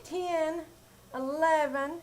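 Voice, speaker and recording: A woman's voice: high voice, fairly even intonation, very slow. A woman playing a chirpy scatterbrained wife. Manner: chirpy, malaprop-prone, giggly. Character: scatterbrained cheerful young wife. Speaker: actor playing Jane. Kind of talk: live old-time radio comedy acting. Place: live theater stage.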